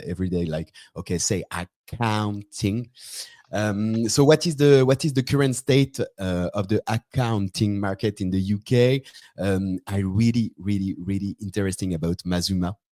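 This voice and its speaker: A man hosting a podcast interview, speaking English with a French accent and often pausing to find his words. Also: lowish voice, dark tone, no echo, studio quality. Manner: hesitant, searching for English words. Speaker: podcast host. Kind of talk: interview intro and questions.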